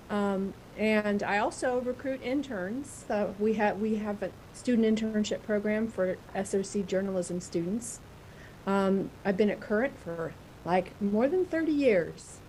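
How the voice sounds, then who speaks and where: A woman who is a managing editor, introducing herself at a roundtable in a brisk, matter-of-fact way. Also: mid-range voice, warm tone, slight background noise, poor audio.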